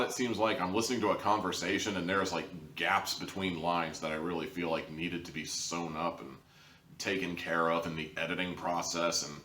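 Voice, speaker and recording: A man's voice: sits low, a bit bright. A young man giving a casual, rambling review to camera in an informal way, with some theatrical emphasis. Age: young adult. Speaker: reviewer, show host. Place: small living room, indoors. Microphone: camera a few feet away. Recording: roomy echo, distant camera mic.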